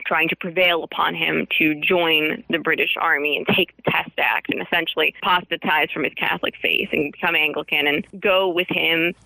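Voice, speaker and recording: A woman's voice: deep voice, fairly even intonation, moderate pace, gravelly, hardly any pauses, pushed and bright, no room sound, rough recording. A woman speaking as a guest author in an interview, explaining earnestly in digressive sentences with formal vocabulary.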